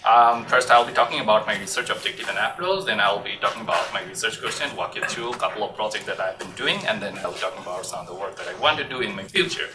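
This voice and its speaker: A man's voice: on the low side, expressive, fast, hardly any pauses, sharp tone. A man with a Bangladeshi accent giving an academic lecture in an informal, hesitant way. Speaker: assistant professor presenting research. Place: university lecture room. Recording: echoey room, distant mic, faint noise.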